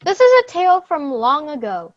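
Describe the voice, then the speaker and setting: A woman storyteller reading a scripted children's tale. Her voice is high and swoops expressively, with a dry but slightly lo-fi sound. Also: sing-song, moderate pace, no echo. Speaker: narrator.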